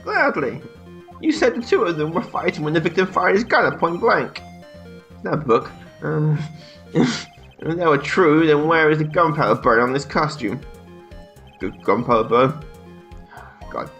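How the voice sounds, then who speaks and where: A man's voice: fairly high pitch, lively intonation, unhurried, long pauses, fairly bright tone, highs cut off, faint music behind. Irreverent young man playing a game. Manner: irreverent, crude, laughing often. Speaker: let's play host. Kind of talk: joking game commentary and reading dialogue aloud.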